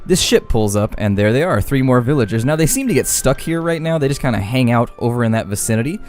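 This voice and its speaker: A man's voice: medium pitch, expressive, quick pace, a little mellow. A man giving casual, chatty let's-play commentary on a game, with folksy slangy phrasing. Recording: game music behind the voice. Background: game music.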